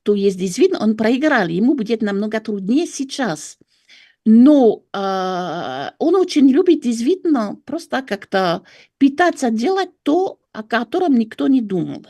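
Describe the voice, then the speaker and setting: French-accented woman, lively intonation, searching for words. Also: a little high, a little slow, dry recording, studio quality. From France. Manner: hesitant, searching for words, self-correcting. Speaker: political commentator and writer. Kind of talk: interview analysis.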